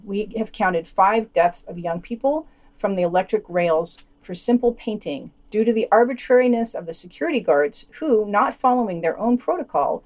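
A woman's voice: medium pitch, a little mellow. A woman hosting a podcast, reading scripted news items and quotations with dry, sardonic commentary.

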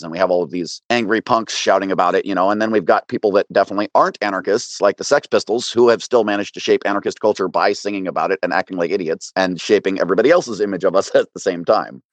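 A man's voice: low voice, dry recording. A man co-hosting a podcast, talking casually and explaining his opinions at length. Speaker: podcast co-host. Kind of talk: casual discussion.

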